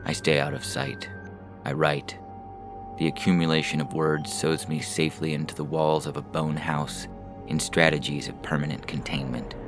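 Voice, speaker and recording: Extremely deep-voiced man narrating over music. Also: level tone, normal speed. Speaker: narrator and podcast host. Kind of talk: scripted literary story narration. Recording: music behind the voice. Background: music bed.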